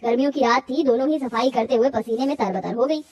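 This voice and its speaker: High-pitched woman narrating a story. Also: fast talker, a little mellow, highs cut off, slight background noise. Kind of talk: scripted cartoon moral-story narration.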